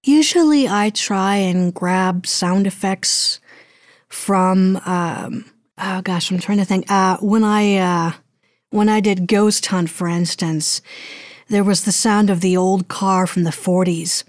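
A woman's voice: medium pitch, slow pace, no room sound, clean studio sound. A woman being interviewed as a guest, speaking conversationally and thoughtfully, feeling her way through her phrases. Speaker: interview guest, audio drama producer and voice actor.

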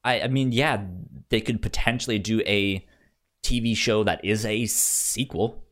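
A man co-hosting a film podcast in casual chat, haltingly repeating words as he thinks. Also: on the low side, steady tone, a bit bright.

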